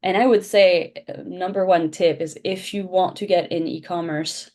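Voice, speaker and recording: A woman's voice: on the low side, normal speed. A woman whose first language is French, talking casually and animatedly as a podcast guest about founding her shoe brand. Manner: animated, chatty, laughs often. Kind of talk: casual interview chat.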